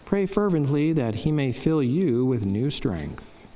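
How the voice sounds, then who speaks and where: Mellow middle-aged friar in a reverberant chapel. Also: male voice, mid-range voice, sing-song, a little hiss or hum. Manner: solemn, measured recitation. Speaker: Franciscan friar and priest. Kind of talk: devotional reading of a saint's life, scripted. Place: wood-panelled chapel, indoors. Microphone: lectern microphone. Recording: long church reverb.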